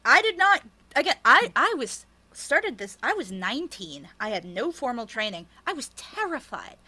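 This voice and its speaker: A woman's voice: a little high, big pitch swings, normal speed, bright, forceful tone. A woman in a candid, casual interview, talking in a blunt, emphatic and often sweary way. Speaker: interviewed writer and podcast creator.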